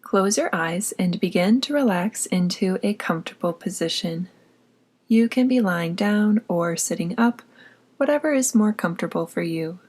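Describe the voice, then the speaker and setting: A woman narrating a guided meditation in a soft, breathy voice, with a soothing, gently instructing manner. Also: on the low side, animated, moderate pace. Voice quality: soft, breathy, often near whisper.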